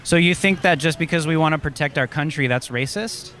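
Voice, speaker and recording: A man's voice: fairly high pitch, brisk, fairly bright tone, soft background music. A man interviewing a stranger on a city street, pressing with probing, confrontational questions.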